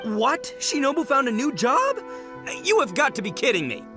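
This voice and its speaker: A man's voice: very high-pitched, wildly swooping pitch, normal speed, full character voice. A man playing a brash, goofy young gang boss in a video game, slangy, blustering and theatrical. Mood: indignant. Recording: music behind the voice.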